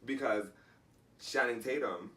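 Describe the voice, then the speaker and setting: A man co-hosting a casual podcast chat, talking in animated bursts with many restarts. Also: medium pitch, measured pace. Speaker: podcast co-host. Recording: distant mic, faint background noise.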